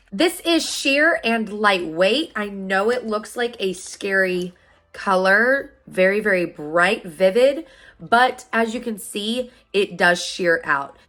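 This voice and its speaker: A woman in her thirties, a beauty vlogger, sounding hoarse and stuffed up from a sinus infection while chatting upbeat straight to her viewers about products. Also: mid-range voice, a bit bright. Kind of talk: product unboxing review.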